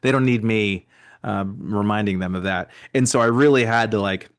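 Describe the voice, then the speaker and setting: A man's voice: lowish voice, normal speed. A man in his forties, a comedian from near Boston, chatting casually and rambling with many fillers. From near Boston, USA. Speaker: stand-up comedian, guest. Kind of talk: casual podcast chat.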